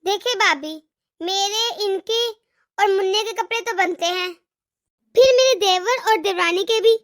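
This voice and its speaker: A demanding married daughter from a cartoon family story , played by a woman, with an extremely high, small voice. She is boastful and entitled, insisting on her wishes with open disdain. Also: a little slow, fairly bright tone, dry recording, studio quality. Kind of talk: animated family drama dialogue.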